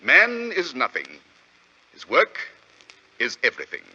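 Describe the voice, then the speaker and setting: A cocky genius detective, old 40s radio drama, super sharp voice, theatrical and lecturing, muffled old recording. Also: male voice, mid-range voice, huge pitch swings, measured pace, full character voice. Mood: philosophical. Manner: crisp, theatrical, didactic deduction. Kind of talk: 1940s radio drama acting. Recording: dull, lo-fi vintage radio recording.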